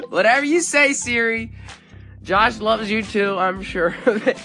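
A woman's voice: a little high, takes it slowly, music in the background. A young woman hosting a goofy comedy podcast in a playful, silly way. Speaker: podcast host.

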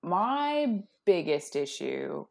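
A woman's voice: lowish voice, big pitch swings, drawn-out words, vocal fry, a little mellow. A woman co-hosting a podcast, talking casually and bluntly in an informal book discussion.

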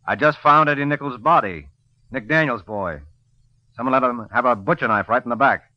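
An American man acting a wry, deadpan private detective in an old radio drama. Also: mid-range voice, fairly quick, close to an everyday voice. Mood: brisk, urgent. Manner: deadpan, wisecracking. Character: wry, hard-boiled male private detective, unflappable and flirtatious. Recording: dull vintage radio recording.

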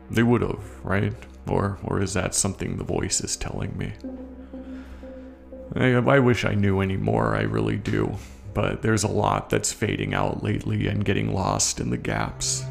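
A man playing a haunted, guilt-ridden war veteran in a horror audio story, confessing in a coarse, halting, gravelly way. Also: fairly low pitch. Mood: bitter, defensive, then lost, uneasy. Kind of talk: first-person horror audio story narration.

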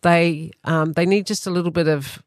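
A man's voice: fairly high pitch, fairly even intonation, moderate pace, no echo, studio quality. A man with a New Zealand accent, a guest who guides fishing trips, talking in an upbeat, enthusiastic way. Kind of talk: casual chat interview.

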